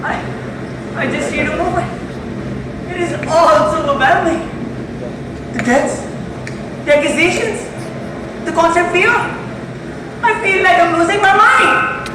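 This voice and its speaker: Woman as fearful stage-play survivor, very high voice, halting, stammering, distant mic, noisy echoey hall. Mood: panicked, despairing. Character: fearful, overwrought survivor losing their nerve. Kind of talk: amateur stage play acting. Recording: very echoey, distant, loud background noise.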